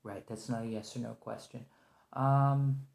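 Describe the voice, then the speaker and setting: Man acting a halting, nervous agent, roomy echo. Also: mid-range voice, steady tone, vocal fry. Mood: wary, tentative. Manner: hesitant, halting, stammering, soft-spoken. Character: nervous, conciliatory special agent trapped by an anomalous creature. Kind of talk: ASMR roleplay, one-sided point-of-view dialogue.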